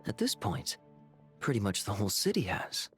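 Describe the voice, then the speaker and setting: A man playing a casual, sharp young companion in video game voice acting. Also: lowish voice, very animated, moderate pace, faint music behind. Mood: puzzled.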